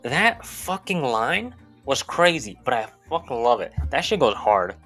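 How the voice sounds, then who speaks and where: A man's voice: medium pitch, big pitch swings, measured pace, bright, forceful tone, faint music behind. A man hosting a video essay, giving an informal, sweary recap that mixes scripted narration with casual commentary.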